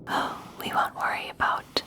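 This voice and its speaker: A woman playing a gentle, caring young elf princess in a soothing, breathy ASMR roleplay, speaking deliberately with long pauses. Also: close to an everyday voice. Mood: reassuring. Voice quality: breathy whisper. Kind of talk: ASMR personal attention roleplay.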